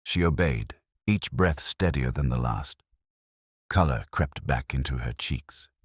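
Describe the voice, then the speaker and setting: A man reading a detective story as narrator, with a measured, literary, dramatic delivery. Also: a bass voice, lively intonation, breathy edge, dry recording, studio quality. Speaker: narrator and audiobook reader.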